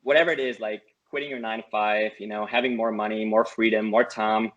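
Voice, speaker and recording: German-accented man, lowish voice. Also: steady tone, a little fast, a bit bright, dull audio. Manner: earnest, repeats words and adds "right?" often. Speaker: guest, course student. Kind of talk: casual interview over a call.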